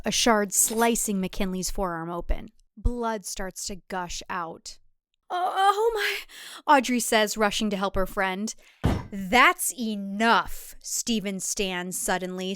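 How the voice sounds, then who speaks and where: A woman's voice: mid-range voice, smooth, dry recording, studio quality. A woman reading scripted thriller fiction as a dramatic storyteller. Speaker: author and narrator.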